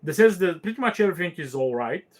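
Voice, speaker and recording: A man's voice: fairly high pitch, expressive, fast talker. Meandering, thoughtful podcast host, man. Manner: thoughtful, meandering, with frequent fillers and restarts. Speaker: podcast host answering listener questions. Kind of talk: casual chat.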